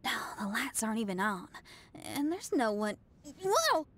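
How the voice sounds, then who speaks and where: Spirited young female musician for a game: high, animated, frank. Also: a little slow, a little hiss or hum. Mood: startled. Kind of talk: video game voice acting.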